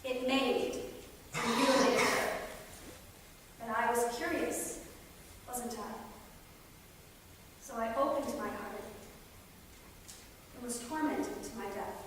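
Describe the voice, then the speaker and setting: A woman acting a haunted, bitter, grieving character in a dramatic, deliberate staged reading. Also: on the high side, fairly even intonation. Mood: anguished, indignant, then desolate. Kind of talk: theatrical monologue and dialogue in staged reading. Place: theatre stage, recorded from audience distance. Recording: echoey theatre hall, distant mic, faint noise.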